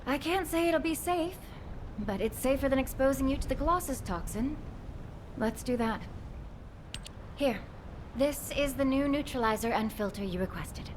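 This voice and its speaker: High-voiced, earnest woman scientist, played by an actress. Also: a little hiss or hum, lightly acted. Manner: earnest, emotionally expressive. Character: anguished, caring woman scientist, protective and reproachful. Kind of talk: dramatic video game voice acting.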